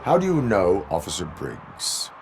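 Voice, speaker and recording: A man voicing a stern, dutiful cyborg police officer in a video game, speaking in formal, clipped, deadpan phrases. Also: low-pitched, unhurried, gravelly, noisy background, exaggerated voice. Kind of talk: video game cutscene acting.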